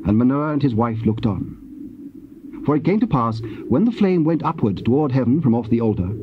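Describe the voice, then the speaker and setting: A man narrating scripture from a script in a solemn, formal, measured storytelling manner. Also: mid-range voice. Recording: dull, noisy old cassette tape.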